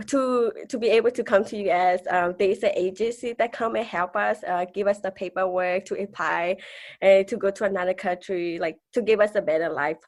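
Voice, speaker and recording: Young woman guest speaking with a Karenni accent. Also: mid-range voice. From Thailand, refugee camp. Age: 20s. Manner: hesitant, with restarts and fillers; laughs softly between points. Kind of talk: conversational interview.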